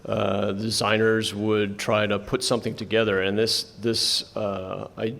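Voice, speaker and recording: A man's voice: on the low side, little pitch movement, measured pace, vocal fry, fairly bright tone. A man moderating a convention panel presentation, talking conversationally and handing off to co-presenters. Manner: conversational, lots of restarts, hands off to co-presenters. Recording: reverberant hall.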